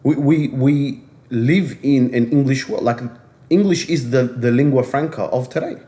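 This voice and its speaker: A young man at an ordinary middle pitch talks with conviction, stacking repeated phrases to drive his points home. He sounds like a casual podcast co-host making a case. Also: moderate pace, mellow. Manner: earnest and emphatic, repeats phrases for stress.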